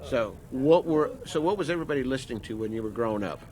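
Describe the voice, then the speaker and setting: A man's voice: mid-range voice, expressive, fast, darkish tone, rough recording. Informal, joking male interviewer. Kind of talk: casual band interview.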